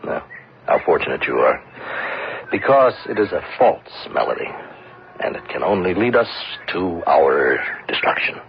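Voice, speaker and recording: An actor playing a despairing, wistful ruined businessman, musing haltingly in literary phrases in an old-time radio drama. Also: low-pitched, very animated, a little hiss or hum. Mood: bitter, brooding. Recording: muffled vintage radio recording with music.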